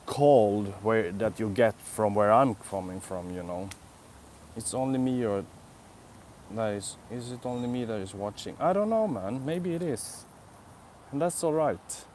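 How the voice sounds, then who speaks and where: A man's voice: medium pitch, animated, measured pace, darkish tone, a little hiss or hum. Swedish man rambling in a park. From Sweden. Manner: rambling, reflective, answers chat comments. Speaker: vlogger. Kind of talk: casual live-stream chat. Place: outdoor city park.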